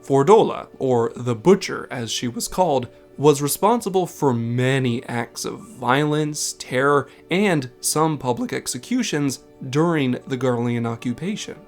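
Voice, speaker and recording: A man's voice: mid-range voice, lively intonation, a little slow, hardly any pauses, faint music behind. A man delivering scripted narration as the host of a video essay. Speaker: video essay narrator and game content creator.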